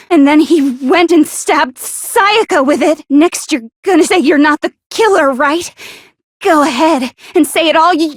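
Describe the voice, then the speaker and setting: A woman voicing a paranoid, bitter and insecure teenage girl in an anime video game, stammering, defensive and sharp-tongued. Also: high-pitched, dry recording, clean studio sound. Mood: accusing, snide.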